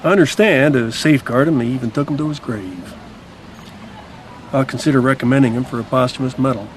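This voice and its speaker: A man's voice: medium pitch, a little fast, natural voice. A man playing an officious American government envoy in an old-time radio mystery, formal and patronizing. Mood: polite, officious. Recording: noisy old radio drama recording.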